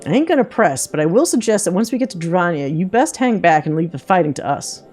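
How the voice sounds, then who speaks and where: Girl reading as a plainspoken hunter lady from a game, folksy ain't-type talk, mellow, protective, faint music. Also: fairly low pitch, very animated, fast talker. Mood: steady, protective. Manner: folksy drawling diction, dropped grammar.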